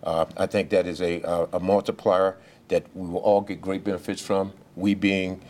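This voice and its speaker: A police official giving remarks at a press briefing, speaking loudly and haltingly, with many fillers and restarts. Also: male voice, low voice, little pitch movement, very noisy. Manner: speaks loudly without a mic.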